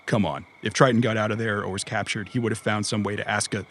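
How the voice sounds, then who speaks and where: A man playing a gruff but loyal cyberpunk mercenary in an audio drama, protective and dry, in an understated conversational delivery. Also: on the low side, steady tone, fast talker, fairly bright tone, a little hiss or hum, lightly acted. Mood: dry, thoughtful.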